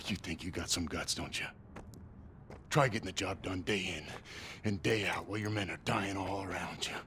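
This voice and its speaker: An actor playing a hardened, embittered American World War II sergeant in a video game, gruff and commanding. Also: male voice, low-pitched, normal speed, sharp tone, slight background noise. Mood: bitter, defeated. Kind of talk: dramatic video game cutscene acting.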